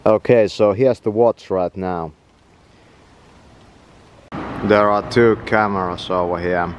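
A man's voice: on the low side, steady tone, moderate pace, lo-fi sound, slight background noise. Finnish man vlogging while walking outdoors. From Finland. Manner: casual, swears often, laughs at himself. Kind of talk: rambling walk-and-talk vlog. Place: outdoors, walking through woods and town. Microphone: handheld camera at arm's length.

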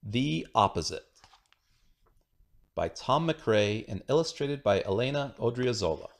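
A man reading a children's picture book aloud in an expressive storytelling manner. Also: mid-range voice, unhurried, creaky. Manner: expressive storytelling with acted dialogue. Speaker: storybook reader.

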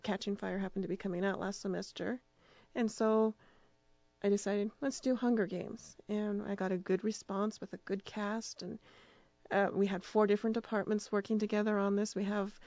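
A woman's voice: medium pitch, steady tone, moderate pace, a little mellow, dry recording, highs cut off. A woman speaking as a radio drama producer and director, giving casual answers in an interview.

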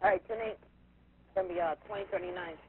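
A man's voice: high-pitched, fairly even intonation, a little fast. A man working as a fire dispatcher over two-way radio, giving clipped, procedural announcements and repeating messages back. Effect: two-way radio transmission. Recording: dull, lo-fi radio scanner audio, faint noise.

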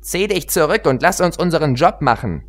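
A man's voice: a little high, lively intonation, a little fast, voice pushed up, natural voice. A man voicing a brash, embittered young female pony fighter in a storybook, emphatic and aggrieved. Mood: commanding.

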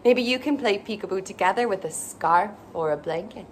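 A woman's voice: mid-range voice, lively intonation, fairly quick, slight background noise. A young woman hosting a children's story time, reading a picture book aloud in an exaggerated, playful way with gasps and questions. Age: young adult. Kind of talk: animated picture-book read-aloud for toddlers. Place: small indoor playroom with bookshelves.

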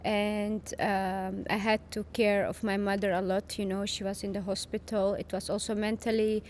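A woman's voice: mid-range voice, level tone, normal speed, a little mellow, a little hiss or hum. Young Chechen-born woman, hesitant speech. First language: Dutch. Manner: hesitant, with many fillers and restarts. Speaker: podcast guest. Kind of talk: casual interview conversation. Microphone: boom-arm studio mic.

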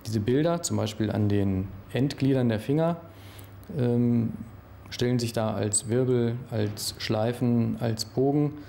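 Middle-aged male expert interviewee, creaky mellow voice, formal technical explanations in an office. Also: medium pitch, a little hiss or hum. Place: office with computer screen, indoors.

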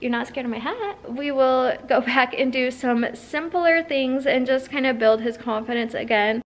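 A woman's voice: high-pitched, normal speed. A young woman talking casually to a phone held at arm's length, standing in an indoor barn aisle beside her horse. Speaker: horse trainer and vlogger. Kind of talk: casual vlog talk to a selfie camera.

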